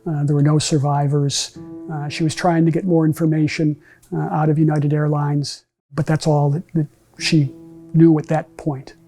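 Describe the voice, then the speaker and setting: A middle-aged man speaks in a measured interview style. His voice sits fairly high for a man, with a soft mellow tone and noticeable creak. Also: flat delivery, soft background music. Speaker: bereaved brother interviewed. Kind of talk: measured personal recollection in a documentary interview. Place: large meeting room with empty chairs.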